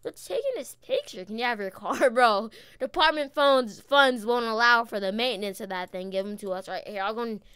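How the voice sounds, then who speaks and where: A speaker giving excited, slangy gameplay commentary and urgent police roleplay into a close microphone. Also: medium pitch, brisk, hardly any pauses, clean tone, dry recording. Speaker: gaming video host playing a police officer.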